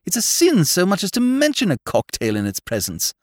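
A man voicing a lively, emphatic young English lord in an audiobook, with an upper-class English accent and clipped, exclamatory phrases. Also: medium pitch, normal speed, dry recording, clean studio sound, voice pushed up, lightly acted. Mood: indignant, emphatic. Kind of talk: audiobook character voice.

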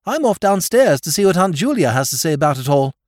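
A man voicing an excitable young English aristocrat who talks in gushing exclamations, in a dry recording. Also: fairly high pitch, fast, no echo, voice pushed up. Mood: cheerful. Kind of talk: audiobook character voice.

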